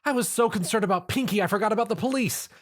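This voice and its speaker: A man voicing an earnest, bookish young mare who is quick to get flustered. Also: sits high, fairly bright tone, voice pushed up.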